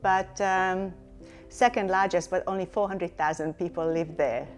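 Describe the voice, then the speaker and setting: A woman's voice: fairly low pitch, fairly even intonation, unhurried, soft background music. A middle-aged woman from Hungary, a company chief executive answering interview questions in a reflective way, with many fillers and restarts. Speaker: company CEO, guest.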